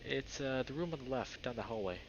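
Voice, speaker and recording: A man voicing a nervous young male animal character in a visual novel, halting, polite and insistent. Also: medium pitch, expressive, close to an everyday voice. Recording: dull lo-fi microphone, faint noise.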